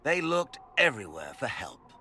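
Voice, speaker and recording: A smug villainous nobleman from a fantasy game. His voice is piercingly bright and highish, sweeping up and down with pompous, sneering courtesy. Faint noise sits behind him. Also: very animated, moderate pace. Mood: gloating. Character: smug, theatrical villainous nobleman and cult mage. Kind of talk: video game cutscene acting.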